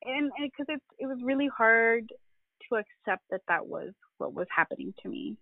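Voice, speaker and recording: Filipino-born woman on a podcast, thinking out loud, halting, sounds like a phone line, dry. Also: on the high side, lively intonation, gravelly, no room sound. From Philippines. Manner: reflective, halting, with self-deprecating laughs. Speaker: podcast guest, family therapist. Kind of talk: candid personal conversation.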